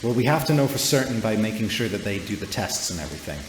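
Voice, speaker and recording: A man's voice: fairly low pitch, big pitch swings, fast talker, reverberant, a little hiss or hum. A man voicing casual, businesslike criminal henchmen in a tabletop game, offhand and weary. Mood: doubtful, weary.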